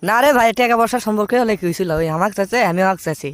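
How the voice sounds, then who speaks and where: A man's voice: high-pitched, racing, smooth, no room sound, close to an everyday voice. A man voicing a heartbroken, stubborn young cartoon character with a Bogura Bangladeshi accent, complaining in a plaintive, earnest way. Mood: earnest, defensive. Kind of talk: comic cartoon dialogue.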